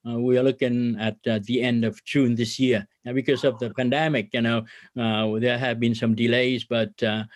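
A man's voice: medium pitch, flat delivery, dry recording. A middle-aged businessman from Vietnam speaks as a podcast guest. He talks earnestly, often stopping on fillers, and his voice sounds dry and close with no room around it. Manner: earnest, many filler pauses, religious phrasing. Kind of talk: podcast interview.

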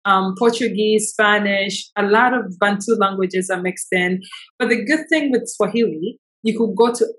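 A woman's voice: mid-range voice, normal speed, fairly bright tone. Enthusiastic woman from Tanzania, interview guest. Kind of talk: conversational interview answers.

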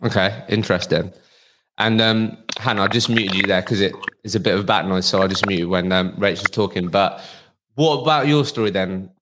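Low-voiced man hosting a podcast. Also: fairly quick, noisy background. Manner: conversational, restarts and fillers. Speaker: podcast host.

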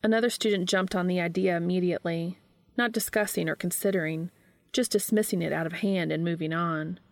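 Female fiction reader, lower register, gravelly edge, close dry recording. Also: fairly even intonation, fairly quick, no room sound. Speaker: audiobook reader of fan fiction. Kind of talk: scripted narration of a story.

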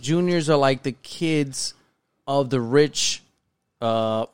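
A man's voice: medium pitch, takes it slowly, clear, resonant tone, no room sound. An American man chatting casually as a podcast co-host about TV, opinionated, slangy and given to swearing. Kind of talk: casual chat about TV shows.

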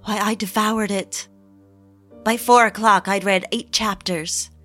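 An actress playing a fragile, eager young mid-century housewife in an audio drama, confiding in a theatrical, genteel way. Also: female voice, medium pitch, fairly even intonation, measured pace, fairly bright tone, soft background music, natural voice. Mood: excited. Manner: theatrical, confiding, genteel, old-fashioned phrasing. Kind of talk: dramatic scripted audio drama narration and dialogue.

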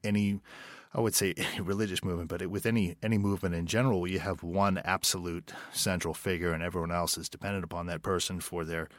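A man, a writer and director, answering interview questions thoughtfully, pausing and restarting as he searches for words. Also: sits low, steady tone, dry recording, clean studio sound.

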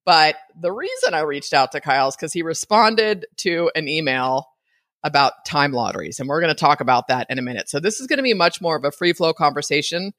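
A woman's voice: deep voice, sing-song, fairly quick, a bit bright. A middle-aged woman hosting a podcast, chatting casually with frequent laughs and asides. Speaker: podcast host.

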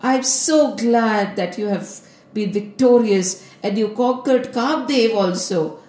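Woman as a soft, serene god, echoey room. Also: a little high. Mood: calm, advisory. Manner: measured, gracious, gently advisory. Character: serene male god, kindly and gently cautioning.

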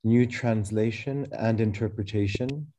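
A man whose first language is Arabic, reading a measured, lyrical spoken essay about a work he is presenting. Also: lowish voice, warm tone, a little hiss or hum. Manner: measured, deliberate, lyrical phrasing. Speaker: artist presenting a sound work.

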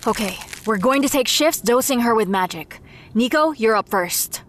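Actress doing bossy no-nonsense healer woman, highish voice, curt orders, audio drama. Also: lively intonation, moderate pace, slight background noise, close to an everyday voice. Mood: commanding. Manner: curt, commanding. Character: brisk, no-nonsense woman leading magical treatment.

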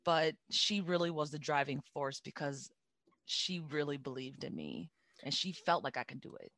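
A woman with a deep voice, a singer chatting as a guest in an interview. She talks reflectively and restarts her sentences often. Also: expressive, fairly bright tone, no echo, studio quality. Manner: reflective, halting, with many restarts. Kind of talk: casual interview chat.

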